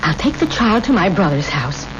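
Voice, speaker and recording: A woman's voice: on the low side, sing-song, moderate pace. An actress playing an earnest, pleading village wife and mother in a 1970s radio drama, with an intense manner. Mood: intent, coaxing. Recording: muffled, noisy old radio recording.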